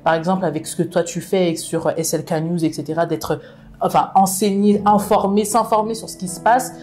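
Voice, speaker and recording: Earnest French woman, lower voice. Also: steady tone, fairly quick, a little mellow, soft background music. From France. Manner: earnest, frequent restarts and affirming "exactement". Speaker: book club founder, interview guest. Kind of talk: casual conversational interview.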